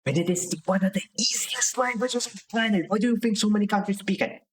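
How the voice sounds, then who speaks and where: A young man, his voice sitting a little on the high side. He streams casually and gets fired up, swearing freely and stumbling over restarts as he rants. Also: fast, a little hiss or hum. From Slovakia. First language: Slovak. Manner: heated ranting with profanity and restarts. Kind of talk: casual live-stream commentary.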